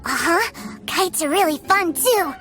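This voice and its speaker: Game casting: eager little brother, wide-eyed, tiny high voice with lively swings. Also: female voice, measured pace, full character voice. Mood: curious. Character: eager, innocent little boy, adoring younger brother. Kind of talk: video game voice acting. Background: background music.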